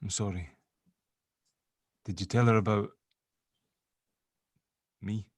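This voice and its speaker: A gruff father with a fairly low voice played by a man in a drama script read. He speaks colloquially and a little haltingly, clumsy and keen to please as an ex-convict trying with his grown child. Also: steady tone, dry recording, studio quality. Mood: awkward, tentative.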